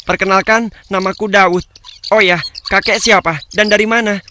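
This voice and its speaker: Cartoon hero kid: polite, earnest boy, bright, high voice. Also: fairly even intonation, moderate pace, slight background noise. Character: brave kind young boy, polite and pious.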